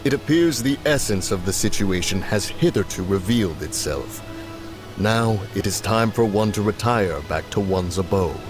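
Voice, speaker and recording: An ancient, haughty immortal from a video game, acted by a man, low in pitch and dignified. He speaks in pompous, archaic formalities with music playing behind him. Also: expressive, moderate pace, natural voice. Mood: composed. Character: ancient, haughty, dignified male adeptus in human form. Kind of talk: English video game dub acting. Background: background music.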